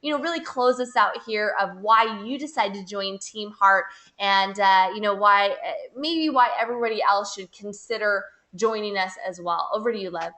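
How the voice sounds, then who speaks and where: A woman's voice: mid-range voice, normal speed, few pauses, sharp tone. An American woman leading a team call, introducing the next speaker with praise and some hesitant fillers. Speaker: team leader hosting the call.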